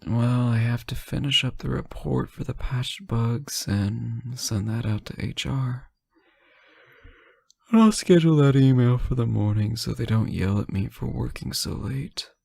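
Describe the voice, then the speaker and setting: A man playing a sleep-deprived, teasing boyfriend on an intimate late-night call, soft-spoken, hesitant and gently joking. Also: medium pitch, measured pace, creaky, dry recording. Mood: tired, defensive. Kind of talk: intimate audio roleplay, one side of a phone call.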